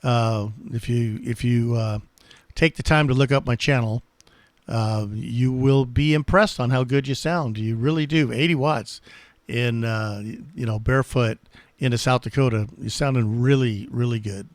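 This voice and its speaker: A man's voice: mid-range voice, normal speed, no room sound. Man chatting on ham radio, close and dry. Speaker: amateur radio operator and channel host. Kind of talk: casual ham radio contact chat.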